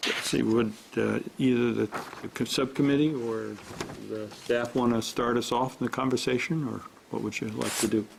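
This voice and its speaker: A man chairing a council meeting in a relaxed, hesitant way. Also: mid-range voice, moderate pace, creaky. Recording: faint background noise from a live stream.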